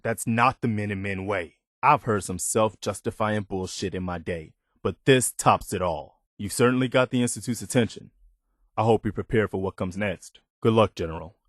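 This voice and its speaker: An actor playing an earnest, dutiful, plainspoken militia lieutenant in a post-apocalyptic video game. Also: male voice, lowish voice, no echo, studio quality, close to an everyday voice. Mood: earnest, concerned, then indignant. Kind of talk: video game character dialogue.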